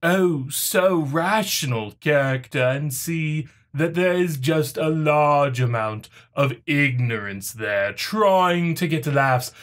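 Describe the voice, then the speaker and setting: Man putting on a pompous, slow-talking snobby preachy commenter. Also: on the high side, lively intonation, fairly bright tone. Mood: haughty, condescending. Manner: pompous, haughty, drawn-out delivery. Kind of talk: mocking dramatic reading.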